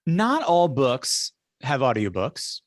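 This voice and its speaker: A man's voice: mid-range voice, wildly swooping pitch, slow pace, dry recording, studio quality. A man hosting an interview in a casual, conversational style, telling anecdotes and putting questions to guests. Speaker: interview host.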